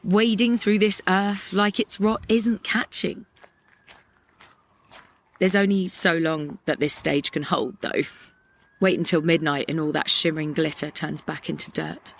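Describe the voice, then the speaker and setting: A woman's voice: on the low side, steady tone, measured pace, creaky, a little hiss or hum. A woman playing a weary young narrator, delivering a lyrical, reflective monologue in an audio play. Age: young adult.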